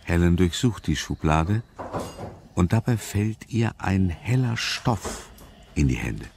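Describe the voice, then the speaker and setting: Male narrator, extremely deep, slow, suspense radio play, measured, music behind. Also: big pitch swings, creaky.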